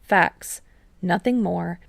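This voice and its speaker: Woman reading fiction audiobook style, lowish voice, tons of fry, dry sound. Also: extremely slow, no echo. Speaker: audiobook narrator. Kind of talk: scripted fiction narration.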